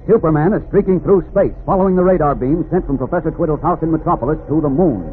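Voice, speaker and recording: A man as a suspenseful, grave narrator of a 1940s radio adventure serial, dramatic and measured. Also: on the high side, close to an everyday voice. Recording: narrow-band vintage radio, faint noise.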